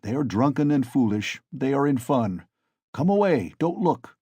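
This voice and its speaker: A man voicing a peasant father gently coaxing his son away. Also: medium pitch, big pitch swings, moderate pace, slightly rough, dry recording. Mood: soothing. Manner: coaxing, soothing.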